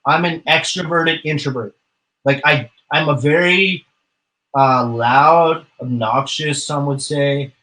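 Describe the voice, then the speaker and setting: A man's voice: a little high, slow pace, sharp tone, poor audio. A man chatting casually as an interview guest, rambling with many fillers and the odd curse. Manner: rambling, frequent fillers and swearing. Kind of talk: casual chat.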